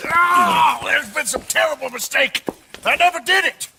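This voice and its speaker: High, creaky, whining quack healer. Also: male voice, heavy background noise. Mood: whining, pleading. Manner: theatrical, pleading, drawn-out, florid. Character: whining, wheedling quack healer, a theatrical captured fugitive. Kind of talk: video game acting. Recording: music bed behind game dialogue.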